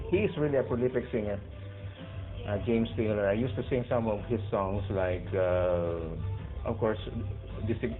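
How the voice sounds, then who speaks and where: A man with a Filipino accent chatting casually over two-way radio, hesitant and searching for his words. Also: on the low side. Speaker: amateur radio operator in an on-air conversation. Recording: phone-like radio audio, faint music behind.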